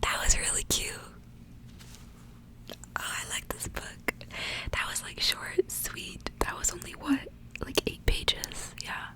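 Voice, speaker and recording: A woman's voice: slow, pauses often, rough recording. Whispering woman reading ASMR. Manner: whispering throughout, soft and breathy. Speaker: ASMR reader and channel host. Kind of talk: whispered ASMR storybook reading with casual asides.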